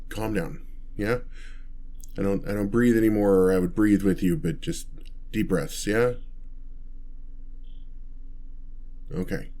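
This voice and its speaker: A man's voice: fairly low pitch, mellow, natural voice. A man playing a meek, nerdy, newly turned vampire in an ASMR roleplay, hesitant, stammering and apologetic as he rambles. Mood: nervous, pleading.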